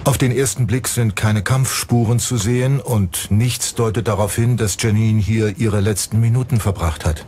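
A man's voice: on the low side, steady tone, moderate pace, few pauses, darkish tone, breathy edge. A man narrating a true-crime documentary in a scripted style. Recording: music under the voice. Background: background music.

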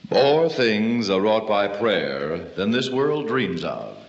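A man's voice: on the low side, sing-song, slow pace. An American man announcing in a stately, polished, formal 1940s radio style. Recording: dull old radio recording, loud music.

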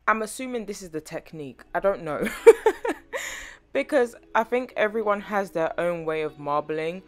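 A young woman with a low voice that is a little hoarse from a sore throat talks through a nail tutorial voiceover in a chatty, rambling way. Faint music plays under her. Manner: chatty, rambling, self-deprecating with laughs. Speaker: nail art tutorial host. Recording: voice-over with faint background music.

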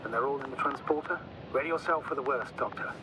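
An actor playing a grave, measured male space commander in a video game, speaking formally over a radio. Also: mid-range voice. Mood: concerned, firm. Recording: distant radio link with heavy noise.

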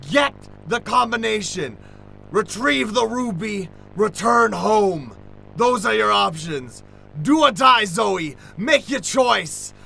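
An actor playing a drunk, scheming mentor in an improvised old-time radio play, grandiose and dramatic. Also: male voice, sits high, expressive, slow pace, gravelly, full character voice, low-quality recording. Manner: grandiose, dramatic, swinging from murmurs to shouts. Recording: noticeable background noise.

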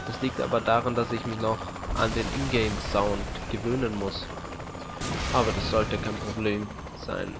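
A young man giving casual, rambling and slightly halting commentary as he plays a video game on a headset. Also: lowish voice, level tone, creaky. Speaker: let's play host. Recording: loud game gunfire and noise behind voice. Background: video game gunfire, vehicles, helicopter.

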